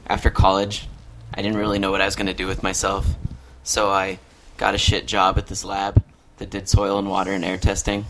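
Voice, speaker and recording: Gravelly, flat-voiced man with hiss. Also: low voice, brisk. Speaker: podcast co-host. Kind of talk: casual podcast chat.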